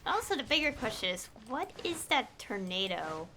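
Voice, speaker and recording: A woman's voice: a little high, very animated, normal speed, sharp tone, a little hiss or hum. A woman giving casual live game commentary as a streamer, musing and thinking aloud.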